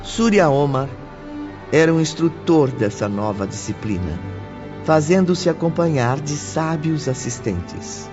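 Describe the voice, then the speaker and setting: A man's voice: fairly high pitch, lively intonation, measured pace. A man reading scripted third-person audiobook narration as the storyteller. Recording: dull sound, music underneath. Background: music.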